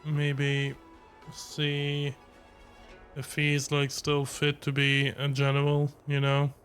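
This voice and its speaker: Male streamer, unhurried slow delivery, casual, hesitant, informal game commentary, faint music behind. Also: fairly high pitch, monotone, fairly bright tone. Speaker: streamer reacting to a game story.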